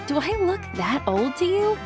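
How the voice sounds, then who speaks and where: A woman's voice: high-pitched, sing-song, measured pace, over music. A woman playing a friendly, teasing but firm auntie-like neighbor in an anime-style video game, speaking with familiar ease.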